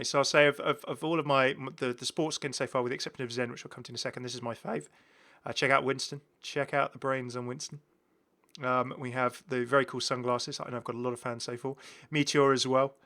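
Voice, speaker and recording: A British man hosts a gaming video in a bright, forward voice at a middling pitch. He talks casually and keenly, rambling through his points and often rewording them midway. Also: a little fast. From United Kingdom. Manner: rambling, self-correcting, enthusiastic. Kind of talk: casual game commentary.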